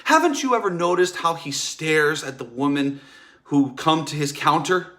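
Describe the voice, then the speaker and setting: An actor playing a jealous, bitter, wounded husband, accusing and scornful in a theatrical period drama table read. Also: male voice, fairly high pitch, lively intonation, moderate pace, pushed and bright. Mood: bitter warning. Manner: accusing, emphatic delivery.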